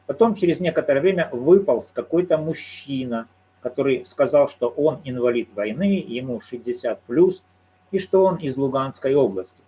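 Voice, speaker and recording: A man giving solo commentary on a court case, arguing his points in a measured, deliberate way and answering his own rhetorical questions. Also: medium pitch, lively intonation, dark tone, slightly rough, poor audio. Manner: argumentative, poses rhetorical questions and answers them.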